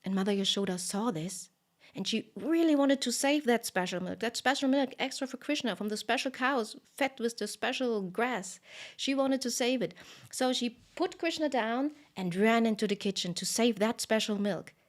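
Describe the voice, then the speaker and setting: A woman telling a story live in an expressive way, with fillers and dramatic pauses. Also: on the high side.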